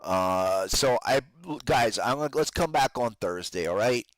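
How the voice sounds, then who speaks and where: A man with a low voice that is wearing out from talking, recorded close and dry. He hosts a trading podcast, giving casual, slangy commentary on charts to his listeners. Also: lively intonation, measured pace, no room sound. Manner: informal trader slang, addresses listeners as guys. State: losing his voice, strained from talking.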